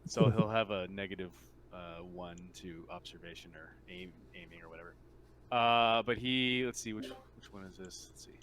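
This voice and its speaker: Male game master, lowish forward voice, casual halting narration, light noise behind. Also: fairly bright tone. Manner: informal, halting, thinking aloud.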